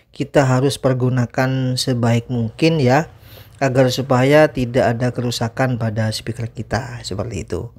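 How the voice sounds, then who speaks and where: A man's voice: mid-range voice, a little mellow. A man presents an informal tutorial. He alternates between reading points aloud and explaining them in his own words. His delivery is instructive, often rounding off a point with a short repeated tag phrase. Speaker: presenter of an audio tutorial channel.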